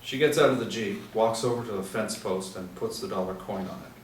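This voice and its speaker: A man's voice: fairly low pitch, a little fast. A man reading out scripted screenplay action and stage directions as the narrator. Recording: echoey room, distant mic, faint noise.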